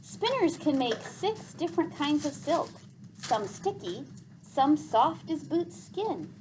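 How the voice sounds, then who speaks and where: A woman's voice: high-pitched, sing-song, takes it slowly, darkish tone, a little hiss or hum, voice pushed up, full character voice, low-quality recording. A woman reading the part of an assured, matter-of-fact woman healer in a storybook, explaining things formally.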